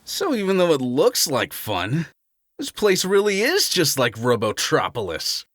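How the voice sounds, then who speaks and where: A woman playing a cocky, excitable young male hedgehog hero in a fan audio drama, with brash, playful, slangy delivery. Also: low-pitched, a little slow, no echo, clean studio sound, exaggerated voice. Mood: thoughtful.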